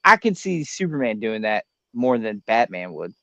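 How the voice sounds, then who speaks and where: A man's voice: lowish voice, sing-song, normal speed, sharp tone, no room sound. A man on a panel chatting casually and argumentatively in a blunt, slangy, contrarian way.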